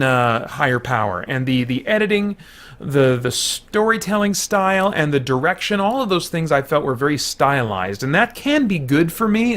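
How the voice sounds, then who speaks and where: A man hosting a casual, unscripted movie review in an informal, rambling way with animated asides. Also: a little high.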